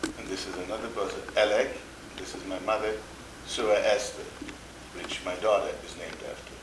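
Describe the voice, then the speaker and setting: Deep-voiced older man, halting testimony. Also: steady tone. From Poland. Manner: halting, slow, repeats words and restarts. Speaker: interviewee, Holocaust survivor. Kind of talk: oral history testimony. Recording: echoey room with background noise.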